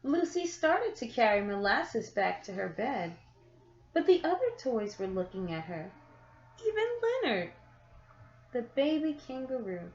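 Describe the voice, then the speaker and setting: Lady reading a kids bedtime book, real slow n expressive, bit muffled and echoey. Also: on the high side. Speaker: storyteller reading a picture book aloud. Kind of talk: children's story read-aloud. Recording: echoey room, slightly muffled sound.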